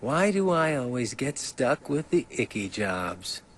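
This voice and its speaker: A man's voice: mid-range voice, sing-song, a little slow, a bit bright, slight background noise. Brash, slangy, impatient young guy. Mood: exasperated. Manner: slangy, grumbling.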